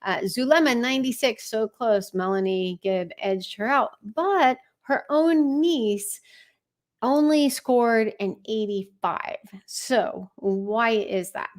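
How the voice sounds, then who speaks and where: Slow-paced woman doing true crime commentary. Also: fairly high pitch. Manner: conversational, wry asides, acts out read messages. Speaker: host of a true crime live stream.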